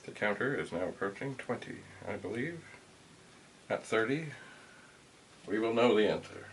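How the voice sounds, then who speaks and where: A middle-aged man giving a casual hands-on demonstration, musing to himself in a halting way. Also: fairly low pitch, lively intonation, poor audio. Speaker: vintage computer hobbyist and video host. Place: cluttered indoor home room. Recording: clear background noise, equipment clicks.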